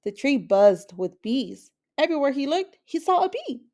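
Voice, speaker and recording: A woman's voice: high-pitched, sing-song, normal speed, darkish tone. A woman reading a children's picture book aloud as a storyteller. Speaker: story reader.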